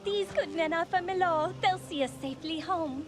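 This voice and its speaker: A woman's voice: very high-pitched, normal speed. A woman playing a young, tender, emotional noblewoman in a fantasy game, with formal speech. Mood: relieved. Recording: music behind the voice.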